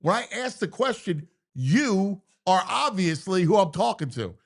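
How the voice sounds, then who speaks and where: A man's voice: sits high, measured pace, vocal fry. A middle-aged man hosting a casual sports talk show, talking with lots of stammering restarts and playful bickering. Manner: animated, stammering restarts, bantering.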